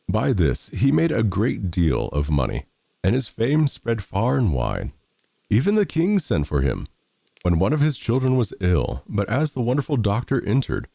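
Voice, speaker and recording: A man reading a storybook fairy tale in a measured, expressive read-aloud manner. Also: sits low, creaky, no echo. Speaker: storyteller, narrator of fairy tales. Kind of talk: scripted storybook narration.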